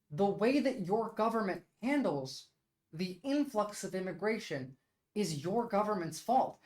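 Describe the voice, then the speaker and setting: A Turkish-born woman, debating her chat on a casual livestream. She argues bluntly and emphatically, peppering her points with "like". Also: medium pitch. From Turkey. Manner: blunt, argumentative and emphatic, with frequent "like" fillers.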